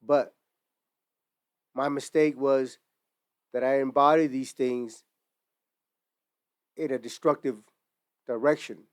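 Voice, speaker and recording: A man in his forties talks earnestly and reflectively, with casual slang running through his storytelling. His voice is smooth and clear at an ordinary middle pitch. The sound is dry and close, with no room echo. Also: fairly even intonation, takes it slowly, pauses often. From Utah, United States. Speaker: merch brand founder. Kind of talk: earnest personal monologue to camera. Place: indoors in front of merchandise display.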